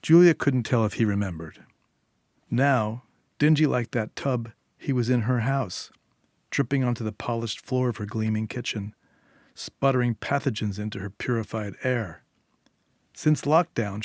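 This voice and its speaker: A man's voice: on the low side, darkish tone, no echo, clean studio sound. A man reading a scripted short story as its narrator.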